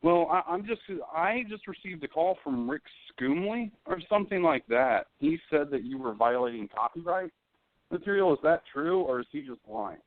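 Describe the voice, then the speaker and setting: A man's voice: on the high side, lively intonation, moderate pace, dry recording, natural voice. Man posing as a probing lawyer, tinny phone. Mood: polite, official. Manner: mock-serious, questioning. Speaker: prank caller. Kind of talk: phone call to a radio show. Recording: narrow tinny phone line.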